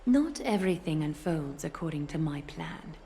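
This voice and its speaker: A woman's voice: sits low, lively intonation, normal speed, dark tone, a little hiss or hum. An actress playing a gracious, calculating female leader in a video game, with poised, formal delivery over music. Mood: calm, probing. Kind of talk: dramatic video game voice acting.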